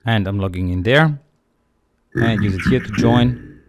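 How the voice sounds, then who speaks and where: A man with a slightly low voice narrates a screencast coding tutorial. He walks through each line of code in a relaxed, conversational manner, like an instructor explaining his work as he goes. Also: unhurried. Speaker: programming instructor.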